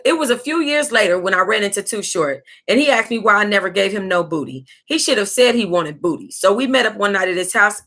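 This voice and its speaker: Sassy American female book club reader, mid-pitched and very bright, casual and reactive. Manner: sassy, animated reactions, breaking into laughter and asides. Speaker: book club host reading aloud. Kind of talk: reading a tell-all book aloud with casual, reactive asides.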